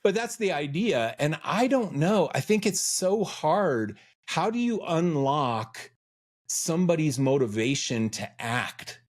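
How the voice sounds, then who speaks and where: A man's voice: fairly high pitch, lively intonation, slow. Earnest reflective middle-aged man. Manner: earnest, reflective, self-questioning. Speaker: podcast guest, freelance educator. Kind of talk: conversational interview.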